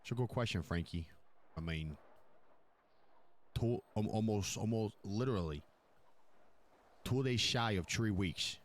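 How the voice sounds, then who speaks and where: A man's voice: fairly low pitch, a little slow, long pauses, lightly acted. A man playing a profane, commanding Italian-American mob boss in improvised roleplay, repeating himself for emphasis. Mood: measured, businesslike. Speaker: streamer playing a crime boss in online roleplay. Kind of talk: improvised in-character roleplay dialogue.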